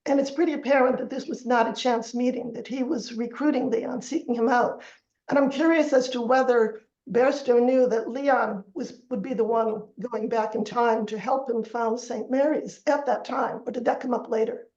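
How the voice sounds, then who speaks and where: A woman's voice: a little high, steady tone, normal speed, a little mellow. A woman asking a question as a reader, casually, over a video call. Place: echoey room, video call. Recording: echoey, dull video call audio.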